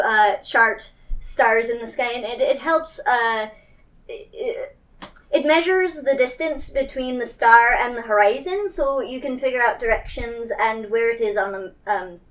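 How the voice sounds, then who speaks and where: A woman improvising casually as a tabletop game player, talking in a hesitant, halting way. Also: on the high side, fairly bright tone. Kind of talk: casual improvised roleplay chat. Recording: distant mic.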